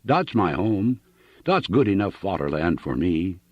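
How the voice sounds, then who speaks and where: A man's voice: low-pitched, very animated, unhurried, husky, no room sound, full character voice. A man voicing a middle-aged German immigrant farmer with a heavy German accent, speaking broken English full of German exclamations. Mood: reminiscing. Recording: dull dry lo-fi recording.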